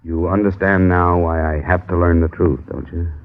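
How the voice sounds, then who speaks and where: A man's voice: sits low, fairly even intonation, normal speed, natural voice. A man playing a hard-boiled insurance investigator in old American radio drama, clipped and matter-of-fact, with an American accent. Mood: earnest. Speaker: lead actor and narrator. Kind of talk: 1940s-50s American radio detective acting. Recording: muffled old radio recording.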